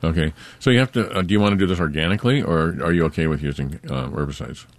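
A man's voice: sits low, fast talker, no room sound. A man co-hosting a gardening call-in show, asking the caller direct questions and giving advice. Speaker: gardening expert co-host.

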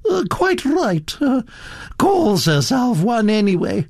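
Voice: medium pitch, big pitch swings, takes it slowly, gravelly, a little mellow, no echo, studio quality, voice pushed up, full character voice. A narrator voicing an imperious, gossipy elderly Duchess in a storybook reading, with a fussy, exclamatory manner. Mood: indignant, gossipy. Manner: autocratic, exclamatory, fussy hesitations.

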